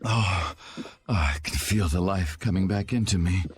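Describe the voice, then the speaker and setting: A man voicing a polite, anxious, flustered father in a video game, speaking haltingly. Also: deep voice. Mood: grateful. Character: grateful, flustered father stranded in desert. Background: background music.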